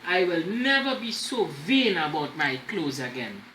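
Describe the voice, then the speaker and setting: A man voicing a vain, proud emperor in a storybook, speaking in a pompous, deliberate way. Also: on the high side, very animated, pushed and bright, distant mic, exaggerated voice. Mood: ashamed. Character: vain, proud male emperor, grandly self-admiring. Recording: roomy echo, faint background noise.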